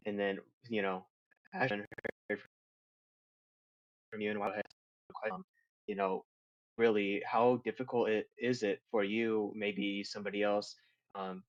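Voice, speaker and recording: A man moderating a panel, asking polite and somewhat hesitant questions. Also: on the low side. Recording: dull lo-fi sound.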